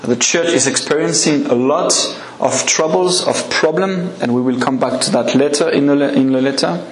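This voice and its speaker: A man's voice: mid-range voice, normal speed, reverberant, a little hiss or hum, rough recording. A man whose first language is French, speaking English with a French accent as a guest preacher, giving a conversational sermon with questions to the congregation. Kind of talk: evening sermon with a live congregation.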